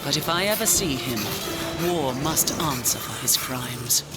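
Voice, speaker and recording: A woman's voice: a bass voice, lively intonation, a little slow, over loud music. A woman playing a battle-weary, proud angel commander in a video game, speaking with solemn, formal resolve. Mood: proud, bitter. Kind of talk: video game cinematic acting.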